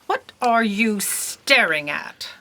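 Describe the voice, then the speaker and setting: A woman's voice: mid-range voice, animated, slow pace, pushed and bright, full character voice. A woman playing an imperious, indignant office boss in a comic audio drama, bossy, officious and exasperated.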